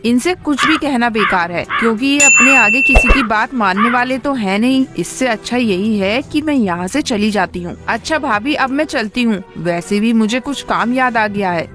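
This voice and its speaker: Sensible cartoon crow sister-in-law, played by a woman, fairly high voice, advising, soft music bed. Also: animated, moderate pace, hardly any pauses, close to an everyday voice. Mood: resigned. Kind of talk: animated moral story dialogue.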